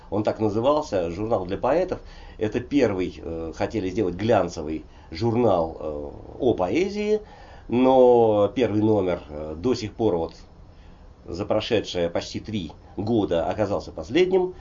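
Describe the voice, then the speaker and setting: A man's voice: on the low side, warm tone, a little hiss or hum. Older russian guy in his 60s, poet rambling in an interview, lots of ums, kinda muffled dull recording. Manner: digressive, frequent hesitations and "vot" fillers. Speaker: poet and polyglot guest. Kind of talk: conversational interview, discursive storytelling.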